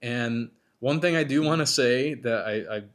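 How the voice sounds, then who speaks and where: A man hosting a podcast, talking casually and a bit self-critically with lots of restarts, his voice worn and hoarse from being sick. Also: mid-range voice, normal speed. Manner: rambling, self-critical, many restarts and fillers. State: losing his voice, getting over being sick. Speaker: podcast host. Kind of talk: casual solo commentary between interview segments.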